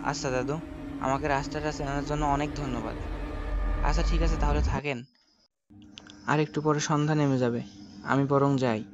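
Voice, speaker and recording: A man's voice: on the high side, fairly even intonation, moderate pace, pauses often, background noise, lightly acted. A man playing a polite, earnest young man from the city in a cartoon folk tale. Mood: polite, confident. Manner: courteous, earnest. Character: polite city-bred young man, a visiting brother-in-law. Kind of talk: animated folk-tale voice acting. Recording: muffled audio under loud music.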